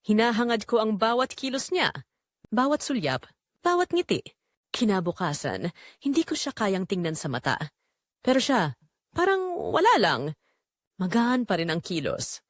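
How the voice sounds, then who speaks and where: Middle-aged mother-in-law for audio drama, played by a woman: secretly longing, guilt-ridden, deliberate emotive confession, very lively pitch, dry recording. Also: no echo. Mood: yearning, ashamed. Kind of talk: dramatic first-person scripted narration.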